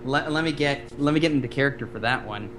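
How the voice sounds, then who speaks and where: A man's voice: medium pitch, quick pace, noisy background. Man rambling with lots of fillers. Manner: rambling, many fillers and restarts. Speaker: guest voice actor and director. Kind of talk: casual podcast chat.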